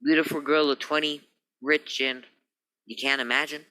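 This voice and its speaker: Stiff, proper male attorney for radio drama, acted by a man: very sharp bright tone, guarded and fussy. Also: a little high, level tone, moderate pace, clear, resonant tone, poor audio. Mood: evasive, haughty. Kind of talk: old-time radio crime drama acting.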